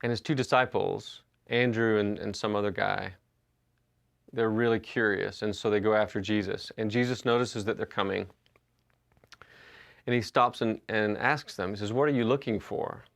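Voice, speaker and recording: Gravelly low-voiced pastor. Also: male voice, steady tone, normal speed, fairly bright tone. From Western North Carolina. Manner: conversational storytelling with frequent uh and restarts. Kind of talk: sermon. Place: church sanctuary.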